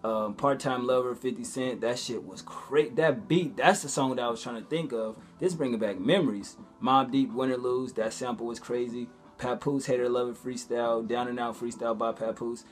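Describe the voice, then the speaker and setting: A man's voice: mid-range voice, fairly even intonation, normal speed, few pauses, faint music behind. A young man chatting casually in a vlog, with informal, slangy speech and frequent swearing. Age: young adult. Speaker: vlogger.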